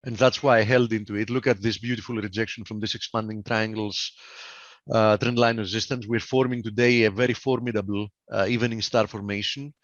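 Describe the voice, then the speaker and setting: A man's voice: lowish voice, flat delivery, fairly quick, dry recording. Greek-accented man analyzing charts, lo-fi audio. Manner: hesitant, many fillers, reads data aloud. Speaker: market analyst. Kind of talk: live webinar market analysis. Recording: dull lo-fi online call audio.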